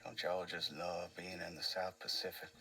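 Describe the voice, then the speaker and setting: A ruthless Southern military boss in a game, slow and sharp-edged. He is smug and folksy while menacing, over faint music. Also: sits low, level tone, heavily acted, rough recording. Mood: smug menace. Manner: drawling, menacing folksy charm.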